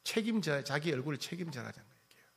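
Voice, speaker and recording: A man's voice: on the high side, animated, fast talker, breathy edge. A male pastor preaching a sermon, asking the congregation questions, teasing them and repeating key phrases. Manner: repeats key phrases, swings from loud to soft.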